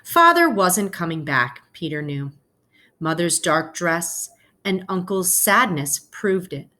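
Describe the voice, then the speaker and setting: A woman's voice: lowish voice, lively intonation, slow, pushed and bright. A woman teacher speaking to students as she reads a children's picture book aloud, explaining things informally and phrasing carefully.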